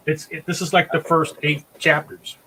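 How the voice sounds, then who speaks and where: A man's voice: on the high side, little pitch movement, normal speed, pushed and bright. Man chatting over faint background noise. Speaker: co-host of a drawing podcast. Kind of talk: casual chat.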